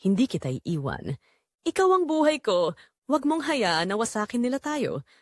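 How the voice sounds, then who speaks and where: A desperate married man in love, acted out by a woman, pleading and trembling in an audio drama. The pitch moves in animated swings, and the sound is dry and close. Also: normal speed, no room sound. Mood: desperate devotion.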